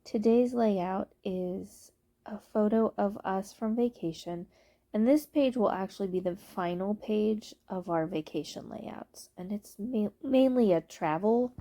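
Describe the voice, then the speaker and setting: A woman's voice: mid-range voice, gravelly. Stuffy-nosed woman narrating a craft video. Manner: relaxed, explanatory, with occasional ums and self-corrections. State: stuffy, congested from allergy shots. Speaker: scrapbooking video host.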